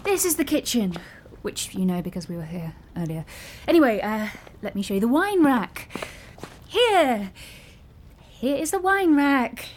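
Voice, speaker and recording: A woman's voice: a little high, very animated, a little slow. An actress playing a reserved young woman with a British accent, dry, understated and politely awkward, in naturalistic audio drama. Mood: brisk, hospitable.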